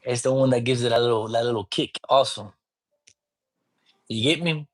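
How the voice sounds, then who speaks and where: A man speaking as a priest, teaching divination in a relaxed conversational way and often checking that the listener follows. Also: medium pitch, normal speed. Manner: informal, often checks in with "you get me?"; mixes in Spanish words. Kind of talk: casual teaching talk.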